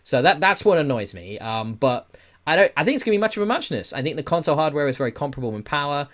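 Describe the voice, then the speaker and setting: A man's voice: fairly high pitch, big pitch swings, fast, fairly bright tone. A man hosting a casual gaming podcast, chatting in a blunt, opinionated way. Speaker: podcast host.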